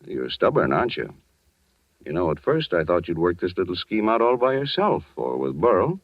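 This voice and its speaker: Shrewd middle-aged stepfather, American, deep, very expressive, wry, calmly menacing, muffled vintage radio. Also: normal speed, no room sound, exaggerated voice. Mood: probing, knowing. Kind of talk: 1940s radio acting. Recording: dull old radio recording.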